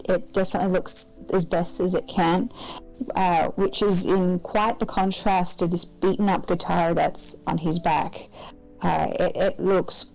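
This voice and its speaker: A woman with a fairly low voice describes her character in a tabletop game. She speaks hesitantly, restarting and searching for words as she goes. Faint guitar music plays in the background. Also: darkish tone, poor audio. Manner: hesitant, with many fillers and restarts. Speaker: player.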